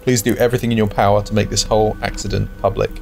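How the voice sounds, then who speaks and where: A man's voice: lowish voice, little pitch movement, very fast, gravelly, slight background noise. A man co-hosting a let's-play, speaking in an informal, conversational way. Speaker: gaming show co-host. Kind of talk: casual let's-play chat.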